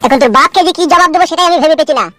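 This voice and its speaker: A man's voice: very high-pitched, bright, forceful tone, faint music behind. A man voicing an exasperated, crude husband who scolds in a comedy cartoon. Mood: exasperated, scolding. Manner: scolding, crude, rapid-fire. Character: foul-mouthed, exasperated husband ranting about his runaway mother-in-law. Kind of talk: amateur comedy cartoon dubbing.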